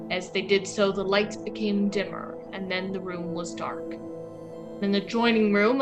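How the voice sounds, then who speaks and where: A woman's voice: mid-range voice, low-quality recording. Woman narrating radio drama over music. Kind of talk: scripted old-time radio drama narration.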